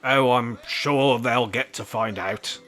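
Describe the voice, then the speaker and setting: Radio play: cheeky, cocksure older male rascal male actor, mid-pitched, dry teasing delivery. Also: slow pace, fairly bright tone, soft background music. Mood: feigned innocence, gleeful. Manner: wry, teasing, feigned innocence. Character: mischievous, cocky older male care-home resident in a wheelchair. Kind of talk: amateur radio play acting.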